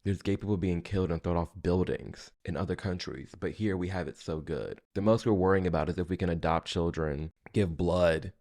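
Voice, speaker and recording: A man with a low-pitched voice talks as the host of a reaction video. His delivery is casual and conversational, like informal opinion commentary given straight to the viewer. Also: a little fast, hardly any pauses, darkish tone.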